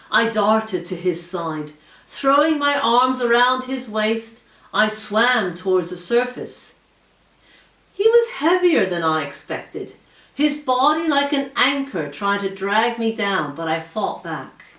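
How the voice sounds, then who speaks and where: Female narrator for fantasy fiction, higher-pitched and very expressive, measured dramatic read; roomy, distant recording. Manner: measured, dramatic storytelling delivery. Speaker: audiobook reader, author. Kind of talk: expressive scripted fiction narration, first-person story reading. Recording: roomy echo, distant from mic.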